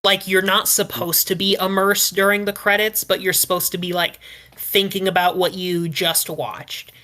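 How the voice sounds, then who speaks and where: A woman speaking as a podcast panelist, giving opinions casually in a measured, qualifying way with frequent "like". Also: medium pitch, level tone, bright, forceful tone.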